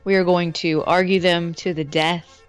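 A woman's voice: fairly low pitch, level tone, normal speed, faint music behind. A woman co-hosting a casual podcast chat, playful and teasing in an upbeat way. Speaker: podcast co-host.

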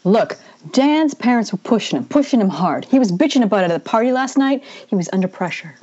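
A woman's voice: fairly high pitch, lively intonation, brisk, warm tone. A woman playing a defensive, blunt teenage friend in an amateur script read over a muffled internet call. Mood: defensive, irritated. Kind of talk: amateur screenplay table read.

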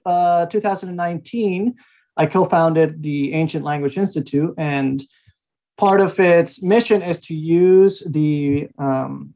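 A man in his thirties from Mexico, speaking as an interview guest, telling his story in a relaxed way with frequent fillers and small laughs. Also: on the high side. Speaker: guest, language institute founder. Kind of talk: conversational interview answers.

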